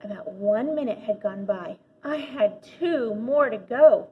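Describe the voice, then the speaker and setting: A woman with a fairly high voice reads a children's storybook aloud. Her delivery is animated, with dramatic emphasis on key words. The recording is a little dull and lo-fi, with faint noise behind her. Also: measured pace. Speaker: teacher reading a children's book aloud.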